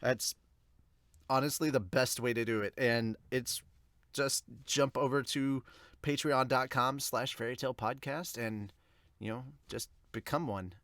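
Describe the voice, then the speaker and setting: A man chats informally as a podcast host reviewing manga. His pitch is average for a man and he tends to ramble. The sound is very dry, with hardly any room in it. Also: measured pace, a bit bright, no echo. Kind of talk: casual chat to viewers.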